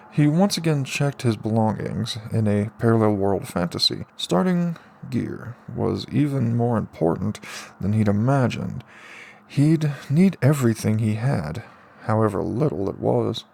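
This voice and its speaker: A man's voice: lowish voice, very animated, a little slow, vocal fry, a little mellow. A man reading out scripted narration in the manner of an amateur audiobook. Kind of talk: scripted light novel narration.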